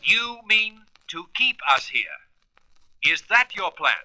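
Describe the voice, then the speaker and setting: Bright, forceful space hero. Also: male voice, high voice, big pitch swings, dry recording, full character voice. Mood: stern, commanding. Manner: earnest, emphatic, deliberate commands. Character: commanding, daring young male space adventurer hero. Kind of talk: radio serial acting.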